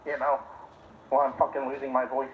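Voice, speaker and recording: A man's voice: medium pitch, monotone, a little fast, a crowd in the background. A man co-hosting a livestream over a call, speaking casually and profanely while losing his voice. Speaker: co-host. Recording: tinny phone-like call line, roomy, faint noise.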